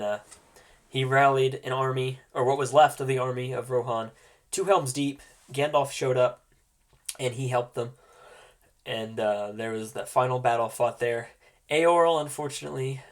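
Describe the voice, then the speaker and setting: A man acting as game master with a congested, nasal voice from a cold, explaining things informally and haltingly as he hosts a tabletop roleplaying game. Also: mid-range voice, fairly even intonation.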